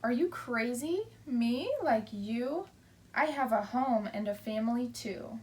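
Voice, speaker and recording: A woman's voice: on the high side, slow pace, darkish tone, recorded from a distance. A woman voicing a boastful, dismissive young boy in a rhyming storybook, with a taunting sing-song delivery. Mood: incredulous.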